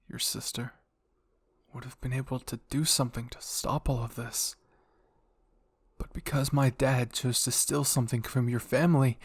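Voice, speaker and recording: A man's voice: medium pitch, slightly rough, dry recording, studio quality. A man voicing a despairing, self-blaming teenage boy, earnest and downcast, in dramatic fan fiction roleplay.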